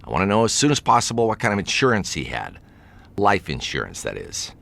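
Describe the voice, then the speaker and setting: A man's voice: deep voice, huge pitch swings, a little fast, gravelly, fairly bright tone, heavily acted. A man acting in a radio drama as a wry jazz pianist turned amateur sleuth, with a dry, hesitant manner. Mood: brisk, commanding.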